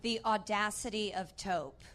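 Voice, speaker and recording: A woman's voice: medium pitch, unhurried, a bit bright, a little hiss or hum. A woman on a comedy panel, reading out mock headlines.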